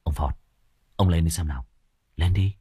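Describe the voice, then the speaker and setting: A man's voice: sits low, normal speed, dark tone, no room sound, clean studio sound, pitched below the performer's own voice. A man voicing a brusque, commanding male spirit master who speaks curtly. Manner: gruff, curt.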